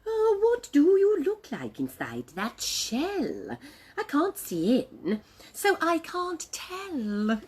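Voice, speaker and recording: A woman voicing a curious, kindly Triceratops in a storybook, asking questions in a drawn-out sing-song way. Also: high voice, wildly swooping pitch, pitched above the performer's own voice, full character voice. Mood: curious, tender.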